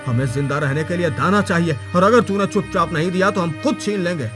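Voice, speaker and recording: A man's voice: high-pitched, fairly quick, over music, lightly acted. A man playing a plain-spoken, earnest village farmer in a Hindi moral cartoon story. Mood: angry demanding.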